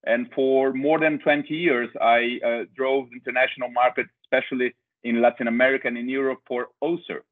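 A man's voice: mid-range voice, level tone, a little fast. A middle-aged man from Brazil with a Brazilian accent, presenting a webinar over a video call with hesitant restarts and filler uhs. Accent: Brazilian Portuguese. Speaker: company director presenting a webinar. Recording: tinny phone-like video call audio.

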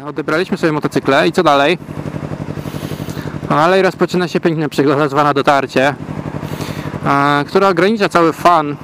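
A man casually vlogging while riding a motorcycle through city traffic, talking in a relaxed, rambling way with many hesitation sounds. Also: a little high, normal speed, long pauses. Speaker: motovlogger. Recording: loud engine and wind noise. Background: motorcycle engine and traffic.

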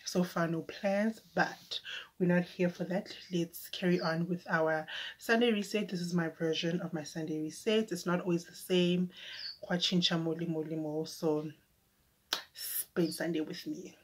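A woman's voice: on the low side, steady tone, unhurried, breathy edge. A young woman chatting casually to her phone camera in her bedroom, rambling with fillers. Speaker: vlogger. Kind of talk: casual vlog chat to camera. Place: bedroom at home, indoors.

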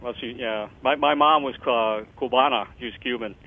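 A man's voice: medium pitch, normal speed. Male host, mid voice, easygoing asides, phone-quality sound. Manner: frequent short backchannels, friendly and casual. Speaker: radio show host. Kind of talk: interview hosting. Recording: phone-line audio.

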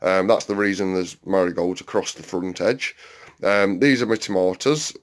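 Low-voiced man rambling on his allotment. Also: moderate pace. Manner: chatty, rambling, many "um"s and asides to viewers. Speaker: allotment vlogger. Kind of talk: casual walk-around vlog. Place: outdoors on an allotment and inside a greenhouse. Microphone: handheld phone camera, filming while walking.

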